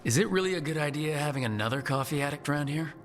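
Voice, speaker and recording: A man's voice: on the high side, lively intonation, quick pace, soft background music, lightly acted. A man voicing a wry, capable heroic team leader in a video game, dry and composed. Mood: dry teasing.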